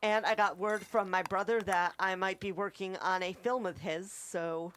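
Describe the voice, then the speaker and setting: A woman's voice: mid-range voice, fairly even intonation, moderate pace, a bit bright, dry recording. A woman chatting casually as a co-host on a talk show. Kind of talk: casual chat.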